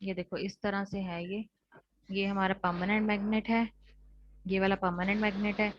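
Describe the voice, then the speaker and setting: A woman's voice: medium pitch, level tone, moderate pace. An Indian woman teaching an online technical class, explaining step by step and restating each point in other words. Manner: explanatory, repeats points in rephrased steps. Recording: dull lo-fi online class audio.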